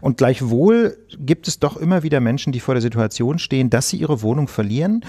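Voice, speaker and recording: A man's voice: medium pitch, lively intonation, brisk, warm tone, breathy edge. A man hosting a political podcast, pressing his guest with probing questions. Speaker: podcast host. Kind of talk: political interview.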